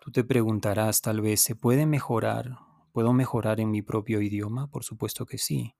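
A man who is a native Spanish speaker hosts an instructional podcast as a teacher, explaining deliberately with pauses and repetition and speaking directly to the listener. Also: lowish voice, steady tone, creaky, dark tone, dry recording, clean studio sound. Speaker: podcast host and language teacher. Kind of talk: unscripted instructional podcast monologue.

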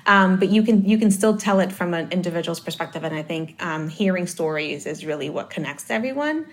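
A woman's voice: lowish voice, lively intonation, brisk. A woman from the Dominican Republic talking about marketing in a casual conversation, thinking aloud with many fillers and restarts. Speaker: marketing guest.

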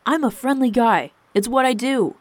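A woman's voice: lowish voice, moderate pace, a little mellow, no room sound. A woman voicing a proud, wounded husband and king in a storybook, intense and indignant. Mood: angry, hurt. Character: proud, wounded husband and king, cool but hot-tempered.

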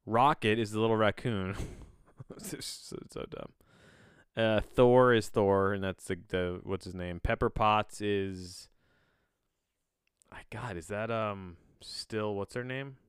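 A man hosting a solo podcast, chatting in a rambling, halting way, often backtracking mid-sentence with a bemused, self-deprecating manner. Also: fairly low pitch, smooth, dry recording, studio quality. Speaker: podcast host.

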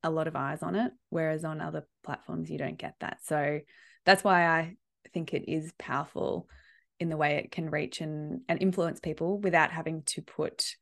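A woman hosts a relaxed podcast conversation. Her voice sits low with a gravelly edge to it. She agrees readily and laughs often as she talks. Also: moderate pace. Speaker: podcast host. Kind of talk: casual interview chat.

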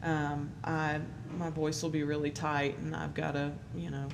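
Low-key female singer chatting, noisy background. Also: level tone, unhurried. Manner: agreeable, interjecting short affirmations. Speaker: singer and musician. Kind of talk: casual conversation about singing. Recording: clearly noisy background ambience.

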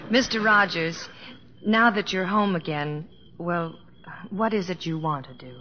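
A woman's voice: lowish voice, a little slow, a little hiss or hum, close to an everyday voice. An actress playing a prim, polite, slightly flustered young small-town woman in old radio drama style. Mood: shy, curious. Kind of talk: 1940s radio acting. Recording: muffled old radio broadcast, music behind.

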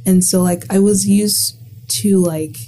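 A woman's voice: mid-range voice, fairly even intonation, measured pace, dark tone, a little hiss or hum. A young woman from the Bahamas, a college student guest, talking casually and filling her speech with "like". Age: young adult. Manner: chatty, heavy use of "like". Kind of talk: casual conversation.

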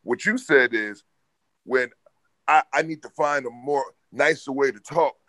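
Loud, deep-voiced man arguing with authority. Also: measured pace, no echo. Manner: loud, boisterous, talks with authority. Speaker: podcast co-host. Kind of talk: casual debate chat.

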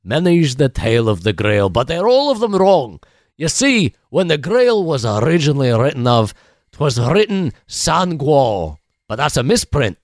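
An actor with a Scottish accent playing a gruff, crafty, boastful chapel keeper in a 1940s-style radio play. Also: male voice, medium pitch, big pitch swings, a little slow, no echo, studio quality. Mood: grandly revealing.

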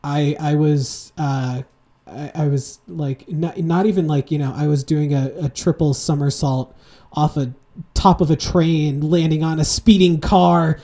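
A man in his twenties co-hosting a casual podcast, telling rambling, animated stories full of fillers and asides. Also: a little high, a little slow. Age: 20s. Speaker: podcast co-host. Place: home room, seated with a heating pad.

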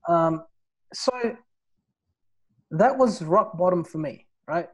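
Guy from nepal, 30s, podcast guest, fairly high voice, lots of "right?" and "you know". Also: expressive, takes it slowly, breathy edge. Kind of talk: casual interview, telling his life story.

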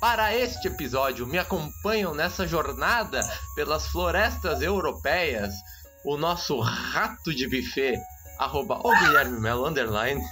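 A man's voice: on the high side, animated, a little slow, sharp tone, soft background music. A man hosting a comedy podcast, announcing the opening in a playful sing-song. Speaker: podcast host.

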